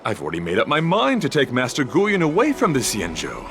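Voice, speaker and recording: A man voicing a chivalrous, high-minded knight devoted to beauty in a video game, speaking formally and with theatrical earnestness. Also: wildly swooping pitch, brisk. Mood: moved, resolute. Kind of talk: video game character acting. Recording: game music behind the voice.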